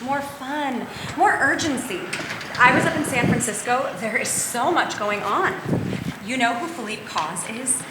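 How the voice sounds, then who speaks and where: A woman's voice: a little high, expressive, unhurried, echoey room, very noisy. A woman playing a restless, ambitious farmer's girlfriend in a live staged reading of a musical. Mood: eager. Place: live performance venue, picked up in the room.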